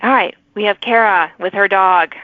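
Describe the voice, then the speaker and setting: A woman's voice: medium pitch, normal speed, dry recording. A woman hosting a podcast, interviewing in a conversational, chatty and encouraging way. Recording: narrow, phone-like sound.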